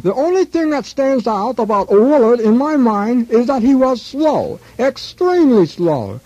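High-pitched, disparaging male supervisor. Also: sing-song, unhurried, dark tone, no echo, full character voice. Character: male post office supervisor, matter-of-fact and disparaging. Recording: slightly dull old radio sound.

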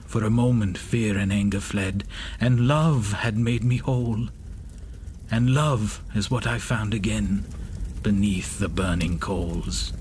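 An actor playing Frankenstein's creature, an anguished, poetic monster, in a solemn, measured horror audio drama monologue. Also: male voice, lowish voice, slight background noise. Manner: solemn, measured, theatrical delivery.

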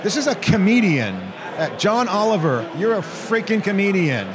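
Middle-aged american guy hosting a conference interview, punchy and emphatic, handheld mic, busy crowd noise behind. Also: high-pitched. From United States. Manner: energetic, emphatic, quick to interject. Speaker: interview host. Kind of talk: conversational interview. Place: large hotel hall at a conference.

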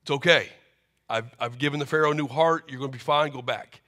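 A man doing a deep, grave divine voice. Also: a little fast, sharp tone, close to an everyday voice. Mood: reassuring. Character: God speaking from a burning bush, commanding.

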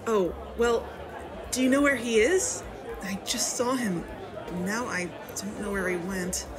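A woman's voice: mid-range voice, a little slow, lightly acted. A woman playing a hesitant, understated but determined investigator in a naturalistic fiction podcast. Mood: awkward, probing. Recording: busy crowd chatter behind.

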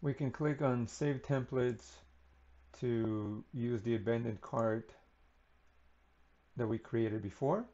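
Slow, gravelly man walking through software. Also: medium pitch, dull audio. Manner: relaxed, unhurried, many ums and uhs. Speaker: software tutorial presenter. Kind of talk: unscripted screen-recording walkthrough.

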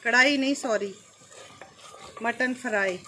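A woman hosting a cooking channel, calmly explaining each step as she cooks at an outdoor stove. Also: fairly high pitch, slow, a little hiss or hum.

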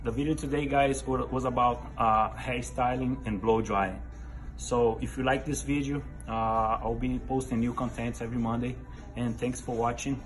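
A man in his thirties giving hands-on barber instruction to camera in simple, halting phrases with non-standard grammar, recorded indoors a few steps from the camera. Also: mid-range voice, steady tone, low-quality recording. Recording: music laid over the voice. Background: music.

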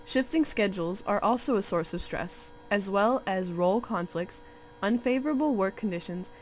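A woman's voice: mid-range voice, expressive, moderate pace. A young woman, a student narrator, formally reading out facts from a documentary script. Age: young adult. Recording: faint background hum and noise.

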